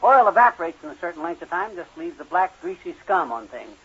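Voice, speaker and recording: A folksy, argumentative husband from a vintage radio comedy. His high voice swings widely in pitch as he blusters and stubbornly defends his penny-pinching ways. The recording is dull and old. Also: very animated, a little fast, fairly bright tone, slightly rough, heavily acted. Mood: breezy, excuse-making. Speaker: comic lead in a radio sitcom.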